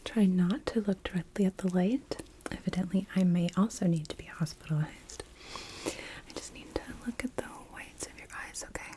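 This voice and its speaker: A woman doing an ASMR roleplay as a gentle hospital nurse, whispering softly and reassuringly through an exam. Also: lowish voice, normal speed. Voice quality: whispery, breathy. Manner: gentle, reassuring, checking in with short questions. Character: gentle night-shift hospital nurse examining a patient. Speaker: ASMR performer playing a nurse.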